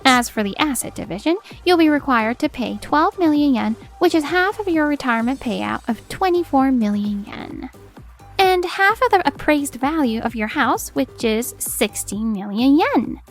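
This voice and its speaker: A woman playing a composed, steely attorney in a manga dub, speaking formally and with measured assertiveness. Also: sits high, big pitch swings, soft background music. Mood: coolly cutting. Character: composed, steely female attorney confronting a husband. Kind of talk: acted manga dub dialogue.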